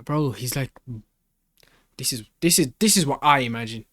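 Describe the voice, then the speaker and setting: A man's voice: medium pitch, lively intonation, moderate pace, a bit bright, no echo. Young man, reaction host, casual slang, repetitive exclamations, very dry room sound.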